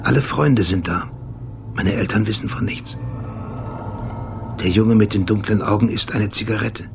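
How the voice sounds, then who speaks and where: An actor playing an obsessive, brooding police detective who recounts memories precisely in short sentences, in a radio drama. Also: male voice, on the low side, moderate pace, creaky, noisy background. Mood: brooding, remembering. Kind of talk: scripted radio drama monologue.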